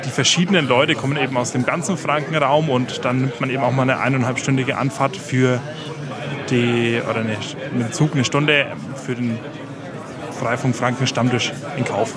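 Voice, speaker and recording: A man with a Franconian accent talks through his answers at an ordinary pitch, pausing often on filler sounds. The talk is casual and unscripted. A busy crowd murmurs clearly behind him. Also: steady tone. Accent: Franconian German. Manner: hesitant, many filler sounds. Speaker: guest. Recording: loud crowd chatter behind.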